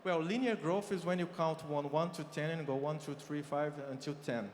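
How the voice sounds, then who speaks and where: A man with a Brazilian accent delivers a conference keynote, his slightly raised voice ringing through a large, reverberant hall. He explains figures patiently, pausing on fillers as he goes. A faint murmur of the venue sits under him. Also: a little high, a little mellow, slight background noise. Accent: Brazilian Portuguese. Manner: explanatory, walks through numbers with frequent fillers. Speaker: keynote speaker.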